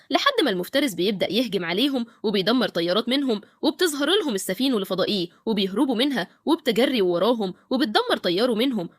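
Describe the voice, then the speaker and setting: A woman's voice: a little high, dry recording, studio quality. A woman with an Egyptian Arabic accent narrating a scripted recap of a film.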